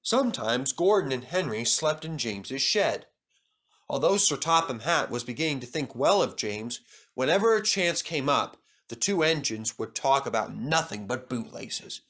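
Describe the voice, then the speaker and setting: A male storyteller reads a children's railway tale with a lively, animated delivery. His voice is bright and forceful, swinging up and down as he narrates. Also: mid-range voice, moderate pace. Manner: expressive, lively. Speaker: storybook reader.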